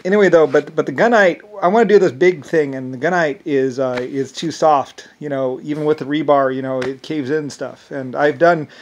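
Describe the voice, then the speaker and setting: A man with an Irish accent talking in a rambling monologue, halting often with restarts and fillers. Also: medium pitch, dull audio. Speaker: self-described inventor.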